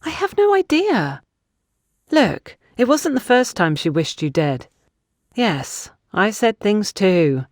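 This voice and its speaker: A woman's voice: medium pitch, sing-song, slow, no echo, studio quality. A woman voicing an insistent, self-justifying young woman in an audiobook story. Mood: defensive, insistent. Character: defensive young woman excusing herself to accusers. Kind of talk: audiobook character dialogue.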